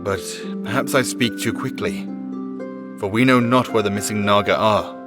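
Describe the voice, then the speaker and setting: A man's voice: lowish voice, fairly even intonation, moderate pace, natural voice. A man playing a solemn, honour-bound paladin in a fantasy game, speaking in formal, archaic phrases with grave remorse. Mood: grave, remorseful. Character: solemn honour-bound male paladin knight, guilt-ridden and dutiful. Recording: music playing behind the voice.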